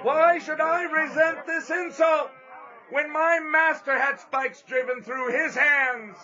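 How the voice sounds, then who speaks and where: An actor playing an earnest, unyielding preacher in an audio drama, speaking in a formal, fervent, declamatory way. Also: male voice, very high voice, slow pace, pushed and bright, some chatter behind, heavily acted. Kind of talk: audio drama acting with first-person narration. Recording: muffled audio drama with music bed.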